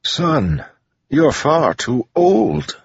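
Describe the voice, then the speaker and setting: A man's voice: fairly high pitch, sing-song, extremely slow, gravelly, breathy edge, no room sound, highs cut off, pitched above the performer's own voice, exaggerated voice. A man voicing a weary, crotchety old priest in an audiobook, patronizing and exasperated. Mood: dismissive.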